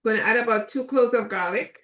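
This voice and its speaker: A woman's voice: fairly high pitch, brisk. Echoey Trinidadian woman chef. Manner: relaxed, chatty, with many fillers. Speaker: chef and cooking instructor. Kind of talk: live cooking demonstration.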